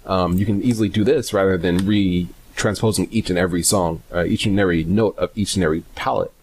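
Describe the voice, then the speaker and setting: A man presenting a casual, unscripted software tutorial in a hesitant, rambling way, often correcting himself. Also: low-pitched, steady tone, a little hiss or hum. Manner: hesitant, halting, self-correcting, rambling delivery.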